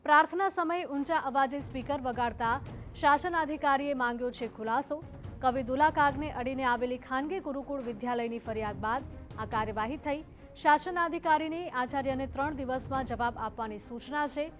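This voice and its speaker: News voice-over: woman, high pitch, scripted delivery, light music underneath. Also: a little fast. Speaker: news narrator.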